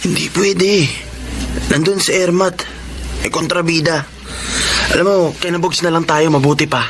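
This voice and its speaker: A man acts out a menacing, spoiled young son in a Filipino radio drama. His voice sits high and he talks with insolent, bullying demands. There is clear noise on the recording. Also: fairly even intonation, normal speed, close to an everyday voice. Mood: scheming.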